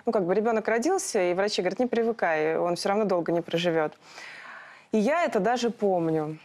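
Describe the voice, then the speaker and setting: A woman in her thirties presenting a studio talk show in a chatty, expressive conversational way. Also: mid-range voice, fast. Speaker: television talk show host.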